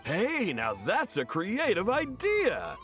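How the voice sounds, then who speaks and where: Friendly young game shopkeeper, highish voice, swoops all over, chatty, music behind. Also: male voice, slow, full character voice. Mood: cheerful, grateful. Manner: chatty, expressive. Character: friendly, upbeat young male sweets vendor. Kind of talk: animated video game voice acting. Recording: music under the voice.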